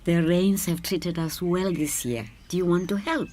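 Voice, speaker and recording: Woman acting a low-voiced patient grandmother. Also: moderate pace, lightly acted. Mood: calm. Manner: gentle, advising. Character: calm, patient old grandmother who gardens. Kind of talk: radio drama acting.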